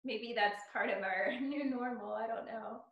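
A woman's voice: a little high, a little slow, lo-fi sound. Earnest woman on an echoey call. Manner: earnest, thinks aloud with many "I mean" and "you know". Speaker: dance teacher, guest. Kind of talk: casual video-call interview. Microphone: video call. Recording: echoey room over video call.

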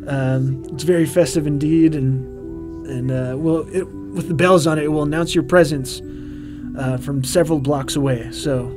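A man in his thirties giving a rambling, relaxed behind-the-scenes commentary with quaintly formal turns of phrase, full of ums and uhs. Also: on the high side, moderate pace. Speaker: puppeteer and co-host of a commentary track. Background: faint background music.

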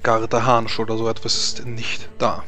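A man's voice: medium pitch, completely flat delivery, a little slow, pushed and bright. A man narrating and refereeing a tabletop roleplaying game as game master. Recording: music playing behind the voice. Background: music.

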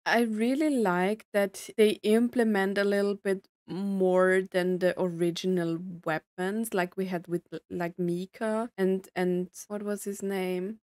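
A woman's voice: medium pitch, a little mellow, no room sound, studio quality. A young woman giving casual, enthusiastic reaction commentary over game trailers. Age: young adult. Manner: enthusiastic, filler interjections like mm-hmm. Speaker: reaction video host. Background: game trailer music and audio.

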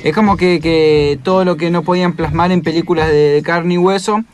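A man's voice: on the high side, steady tone, a little fast, soft background music. A man with an Argentine accent bantering casually as a radio co-host.

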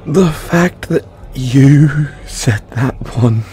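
Actor as a dorky, slow-talking British flirt. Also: male voice, medium pitch, warm tone. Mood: amused, flustered. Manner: halting, giggly, bashful delivery. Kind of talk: audio roleplay acting.

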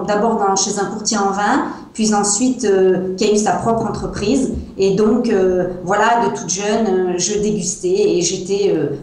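A woman's voice: medium pitch, darkish tone. A woman in her thirties with a Provençal French accent, a guest on a video call who speaks hesitantly with many fillers. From France. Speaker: guest, wine seller. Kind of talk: interview over video call. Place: small room at home, indoors. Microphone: earbuds on a video call. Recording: echoey room sound over a video call.

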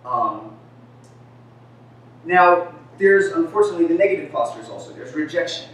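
A man's voice: fairly high pitch, lively intonation, normal speed, a bit bright. A man in his thirties giving a casual presentation at a talk event, speaking conversationally and leaning on "right?" and filler words. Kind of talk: lecture presentation. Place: gallery-like room with a screen. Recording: echoey room recorded from far off.

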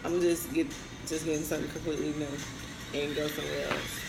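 A woman in her twenties chatting casually while eating on camera, telling a story in relaxed slang. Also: sits low, fast, creaky. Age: 20s. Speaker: vlogger. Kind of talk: casual mukbang chat. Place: indoors by a concrete wall, eating at a table. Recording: roomy echo with music underneath.

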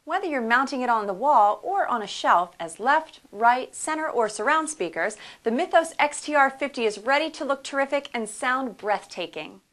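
Female promo narrator, somewhat high-pitched, polished and upbeat, scripted sales read. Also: lively intonation, moderate pace, few pauses, fairly bright tone. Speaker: product video narrator.

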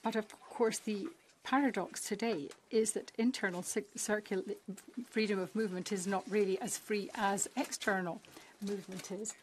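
A woman simultaneously interpreting a parliamentary speech, with a steady, measured delivery and occasional restarts. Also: mid-range voice, slight background noise, low-quality recording.

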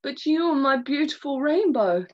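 Storybook tadpole, young and male, pleading and earnest as a woman reads him, slightly high voice, dull lo-fi sound. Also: expressive, moderate pace. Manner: earnest, apologetic. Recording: dull lo-fi recording.

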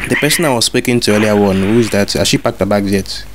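A man's voice: on the low side, fast talker, background noise. A man making a prank phone call as a fake school inspector, bossy and repeating his orders over and over. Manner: bossy, repetitive, demanding orders.

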